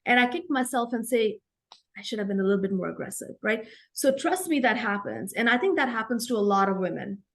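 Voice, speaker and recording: A woman's voice: a little high, animated, a little fast, a bit bright. A woman in her forties from India with an Indian accent, speaking as an interview guest in an earnest, reflective way and often ending points with "right?". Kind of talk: conversational interview.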